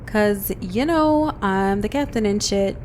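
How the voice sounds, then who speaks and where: A woman's voice: mid-range voice, lively intonation, slow, a little mellow, slight background noise. A woman playing a brash, crude female mercenary captain who talks bluntly and swears casually.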